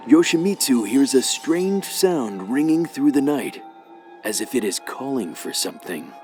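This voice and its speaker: A man's voice: medium pitch, darkish tone. Male story narrator over music.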